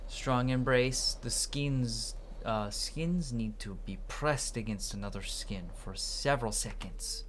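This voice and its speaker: Gaming narrator, medium pitch, stumbling dialogue read-aloud, noisy recording. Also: slow pace, few pauses. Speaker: gaming video narrator voicing characters. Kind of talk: reading video game dialogue aloud.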